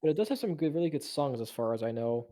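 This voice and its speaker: A man's voice: on the high side, lively intonation, fast, warm tone, slight background noise. A man chatting with a friend over a call, insistent and playful, often repeating words as he pushes his point. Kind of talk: casual chat over a call.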